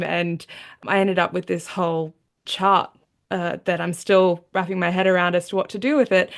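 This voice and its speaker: A woman hosting a podcast, speaking in an earnest, musing way and slowing down when she reflects. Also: mid-range voice. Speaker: podcast host.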